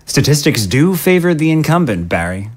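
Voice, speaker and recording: Man playing a smug, animated congressman. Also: a little high, moderate pace. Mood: cocky, relaxed. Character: Steve McPherson, smug, ambitious Republican congressman, man. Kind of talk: audio drama acting.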